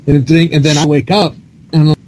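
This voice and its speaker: A man's voice: a little high, little pitch movement, racing, a little mellow. A man casually telling a personal story as a podcast panelist. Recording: faint background room noise.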